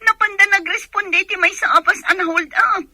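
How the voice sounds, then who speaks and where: An anxious Filipino woman in a radio drama, speaks in a very high, forceful voice. The sound is dry and close, and her delivery is full of worry for her family. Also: steady tone, moderate pace, no echo, full character voice. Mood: urgent. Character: anxious Filipino woman fretting over wounded relative.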